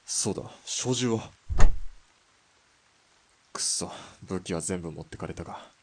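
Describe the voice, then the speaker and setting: Man acting a very low, gruff young killer. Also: measured pace, noisy background. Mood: cocky. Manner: blunt, curt, tough-talking. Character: gruff, cocky young Japanese army sniper turned killer. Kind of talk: Japanese audio drama acting.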